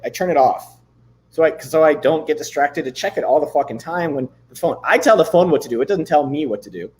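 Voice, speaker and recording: A man in his thirties hosting a live stream, chatting loosely and swearing casually, a bit hoarse from losing his voice. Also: a little high, darkish tone, a little hiss or hum. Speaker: livestream host. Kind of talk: casual livestream chat with screen demos.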